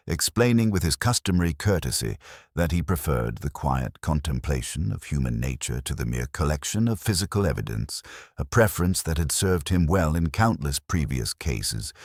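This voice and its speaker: Male audiobook narrator for mystery fiction: extremely deep, dry studio sound, formal literary delivery. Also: darkish tone, dry recording. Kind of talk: scripted mystery story narration.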